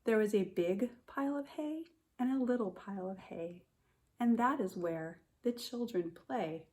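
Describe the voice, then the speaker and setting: A woman kindergarten teacher reading a picture book aloud to young children in a simple, expressive way, speaking to them directly. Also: medium pitch, moderate pace. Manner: addresses children directly with questions and prompts.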